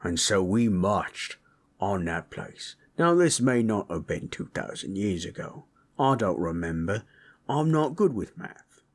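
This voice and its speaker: A man voicing a gentle, proud orc warrior in an intimate audio roleplay, telling tales in a folksy, rough-hewn, husky way. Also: fairly low pitch, big pitch swings, measured pace, full character voice. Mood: reminiscing. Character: gentle, proud male orc warrior storyteller, affectionate, teasing. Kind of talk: intimate comfort roleplay storytelling.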